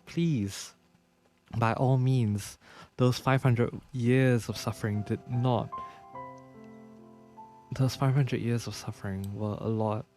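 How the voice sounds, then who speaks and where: Guy streamer reacting to a game, mid pitch, earnest, repeats himself, faint music behind. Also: fairly even intonation, a little mellow. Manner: earnest, sympathetic, halting repeats. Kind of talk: casual game playthrough commentary.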